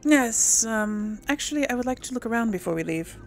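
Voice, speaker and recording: A woman playing a game and commenting casually, excitable, talking to herself and her characters, sometimes answering in character. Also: a little high, brisk, soft background music. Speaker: gamer playing and role-playing for an audience.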